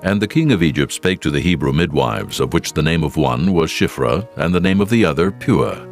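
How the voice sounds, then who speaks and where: Very deep, gravelly male narrator. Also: animated, soft background music. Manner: solemn, deliberate. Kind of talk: dramatized scripture narration.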